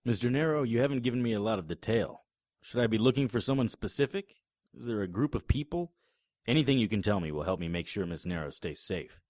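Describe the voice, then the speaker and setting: An actor playing a serious, plain-spoken 1930s private detective in a noir audio drama. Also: male voice, on the low side, lively intonation, fast talker, darkish tone, no echo, studio quality, natural voice. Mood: polite, firm. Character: earnest, dutiful 1930s private detective, a man. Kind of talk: noir audio drama acting and first-person narration. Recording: music behind the voice.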